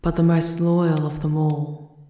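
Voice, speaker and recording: A woman's voice: low-pitched, little pitch movement, measured pace, warm tone. A woman playing a bitter, scornful castle advisor and sorceress in a fantasy ASMR roleplay, speaking formally in an echoing space. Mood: ominous, scornful. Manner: ominous, formal, haughty. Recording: echoey, reverberant room sound.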